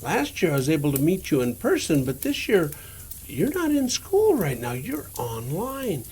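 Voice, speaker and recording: An older man with a gravelly voice reads a storybook aloud to children as Santa, gently and full of wonder, addressing them directly. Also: on the high side, huge pitch swings, normal speed, slight background noise.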